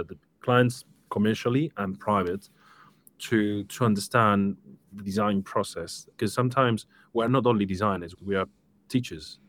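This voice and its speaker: A man from Spain in his thirties, speaking with a Spanish accent as a live-stream interview guest, relaxed and lightly joking. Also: low voice, no room sound. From Spain, Seville. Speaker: guest, interior design studio director.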